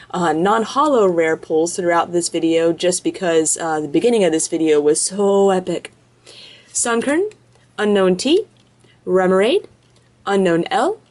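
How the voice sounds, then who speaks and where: Woman opening card packs at a table, casual chatter, reads off names in a row, medium voice. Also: medium pitch. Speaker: card-opening video host. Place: indoors at a table, off camera behind hands.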